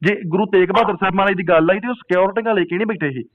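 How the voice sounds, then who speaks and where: A man's voice: sits high, rapid-fire. A man, preaching insistently and debating religion on a phone call with repeated rhetorical questions. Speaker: Sikh preacher taking a supporter's phone call. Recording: dull lo-fi phone call recording.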